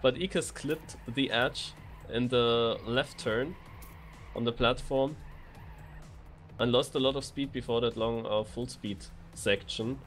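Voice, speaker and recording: Medium-pitched man co-commentating a racing game. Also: steady tone, takes it slowly, pauses often. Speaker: esports co-commentator. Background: music.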